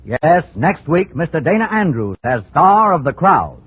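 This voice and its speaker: A man's voice: fairly high pitch, very animated, measured pace, a little hiss or hum. An American man announcing a 1950s radio program in a dramatic, formal style. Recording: muffled, band-limited old radio with faint music.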